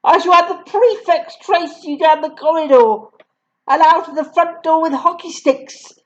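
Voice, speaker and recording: A woman's voice: unhurried, darkish tone. A woman voicing a huge, cruel headmistress who sneers and barks insults at children, on a dull lo-fi recording. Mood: ranting. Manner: booming, sneering, spits insults. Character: huge bullying female headmistress, cruel, contemptuous.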